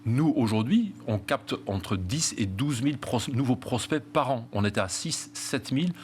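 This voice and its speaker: A man's voice: medium pitch, expressive, moderate pace, a little mellow, a little hiss or hum. A middle-aged man from Flanders with a Flemish Belgian accent, being interviewed about his property business and speaking with many hesitations and restarts. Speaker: real estate company founder. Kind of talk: interview answers.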